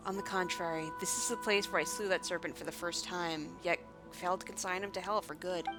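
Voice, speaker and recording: A woman's voice: lowish voice, fairly even intonation, brisk, over music, close to an everyday voice. A woman voicing a solemn, ancient guardian woman from a fantasy game, telling of old tragedy in formal, grave words. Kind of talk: fantasy game dialogue read aloud.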